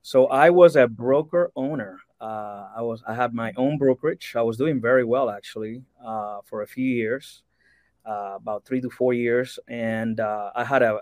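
Man, from Cuba, forties, podcast guest, earnest, halting with fillers, dry sound. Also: mid-range voice, a little mellow, no room sound. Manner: earnest, many fillers and restarts. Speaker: guest, real estate broker. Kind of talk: podcast interview.

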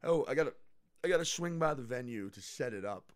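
A man of middle pitch tells stories on a podcast with lots of energy, swearing and acting out everyone's lines. The sound is dry and close, with no room around his voice. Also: brisk, no echo. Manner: animated storyteller, swears freely, acts out quotes. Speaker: guest comedian. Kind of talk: casual podcast chat.

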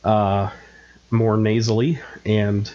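A man's voice: fairly low pitch, level tone, slow, warm tone. Male co-host, congested and nasal, loose self-mocking chatter, muffled mic. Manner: rambling, self-mocking, many fillers. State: stuffed up with a cold. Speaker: podcast co-host. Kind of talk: casual chat.

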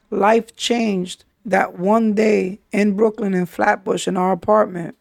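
A man's voice: high voice, vocal fry, a little mellow, no echo, studio quality. A man, hosting a podcast and telling his life story candidly in street slang, often saying "yo" and "you understand?". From New York City. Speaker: podcast host.

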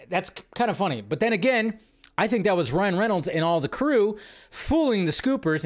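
A man hosting a livestream with casual, gushing movie-news commentary, rambling in slangy, emphatic phrases and repeating himself for emphasis. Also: sits high. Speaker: livestream host.

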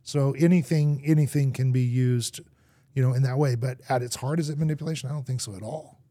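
Animated storytelling man, mellow voice. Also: mid-range voice, fairly quick, no room sound. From grew up in Argentina. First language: English. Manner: lively storyteller acting out anecdotes. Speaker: guest, marketing executive. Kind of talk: podcast conversation.

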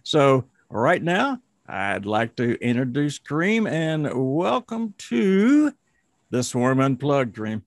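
A man's voice: mid-range voice, big pitch swings, slow, no room sound, clean studio sound. A man hosting a podcast, welcoming his guest in an upbeat way with some repeated words. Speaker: podcast host. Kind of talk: scripted intro then casual hosting.

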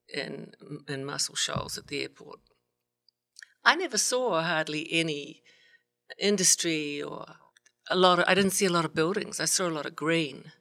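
Laughing New Zealand woman, bright voice. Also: low voice, no echo, studio quality. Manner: laughs often, chatty and self-amused. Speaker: guest, singer-songwriter. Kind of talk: casual round-table storytelling.

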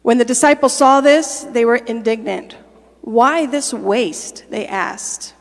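A pastor's wife making church announcements and reading scripture in a friendly, direct way. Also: female voice, on the high side, takes it slowly, in a large hall. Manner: friendly, direct address with fillers. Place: church sanctuary.

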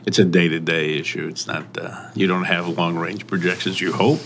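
An older man who grew up in Mexico, telling his story in a relaxed interview, halting and often trailing off. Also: low-pitched, vocal fry, a little hiss or hum. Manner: halting, many pauses and restarts, soft trailing phrases. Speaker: restaurant owner. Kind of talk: casual interview.

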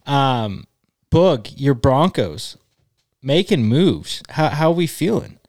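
A man's voice: medium pitch, very animated, darkish tone, no room sound, clean studio sound. A young man hosting a casual podcast, easygoing and jokey, tossing questions to his friends. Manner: easygoing, asks questions, quick jokey asides. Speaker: podcast host. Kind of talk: casual chat.

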